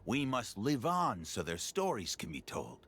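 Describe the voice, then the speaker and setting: A deep, expressive mature warrior from a video game. He is steady and solemn, offering encouragement with wide rises and falls. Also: a little slow, faint music behind, exaggerated voice. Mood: solemn, consoling.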